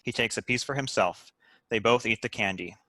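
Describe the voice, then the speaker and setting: A man's voice: mid-range voice, a little fast, bright, forceful tone, dry recording. A man reading out stage directions as scripted narration for a screenplay table read.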